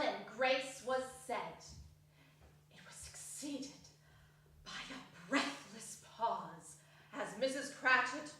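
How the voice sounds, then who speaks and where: Very high-voiced woman narrating slowly. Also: sharp tone. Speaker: reader in a staged dramatic reading. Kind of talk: theatrical storybook narration. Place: indoor performance hall. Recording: echoey hall from far off.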